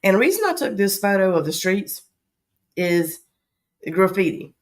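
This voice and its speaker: A woman's voice: medium pitch, sing-song, normal speed. Woman with a scratchy Southern voice. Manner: rambling, with frequent ums and agains. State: scratchy voice from allergies. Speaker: travel vlogger host. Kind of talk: casual travel chat.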